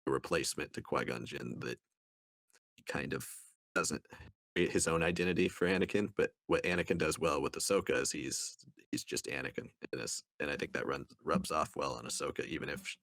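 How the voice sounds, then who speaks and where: A man co-hosting a podcast, talking casually and thoughtfully in a halting way as he gives his take on things. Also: a bass voice, a bit bright, no echo. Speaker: podcast co-host reviewing books. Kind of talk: casual discussion.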